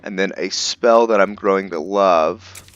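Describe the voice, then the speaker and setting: A man's voice: sits low, unhurried, highs cut off, background noise. A man giving casual, rambling let's-play commentary as a gaming host.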